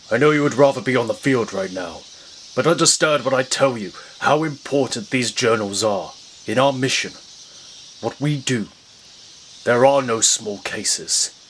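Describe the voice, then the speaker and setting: Casting: man as stern, brooding crime-fighting detective, mid-pitched, grave and deliberate, audio drama. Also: a bit bright, a little hiss or hum, lightly acted. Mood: stern, earnest. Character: grim, brooding masked vigilante detective and stern father. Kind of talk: dramatic first-person narration.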